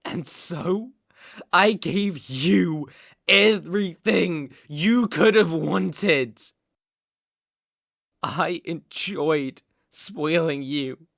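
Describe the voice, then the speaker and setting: A British man playing an unstable, obsessive young mafia boss in an ASMR roleplay, speaking in a halting, menacing and volatile way with a husky, breathy voice. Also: a little high. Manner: halting, menacing, volatile, swinging between hush and outbursts. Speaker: roleplay voice actor. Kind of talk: dramatic ASMR roleplay monologue.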